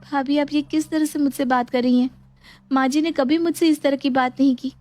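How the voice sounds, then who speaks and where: Woman as a high-voiced young daughter-in-law. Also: steady tone, fairly quick, darkish tone, close to an everyday voice. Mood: polite, pleading. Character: put-upon young daughter-in-law, polite yet scheming. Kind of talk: acted moral storytelling.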